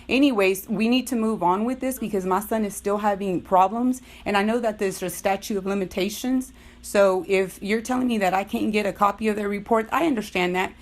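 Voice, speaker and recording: A middle-aged woman on a phone call, firm and insistent, repeating herself as she argues her case as a parent. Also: mid-range voice. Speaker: parent making a complaint. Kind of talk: tense phone conversation, disputing.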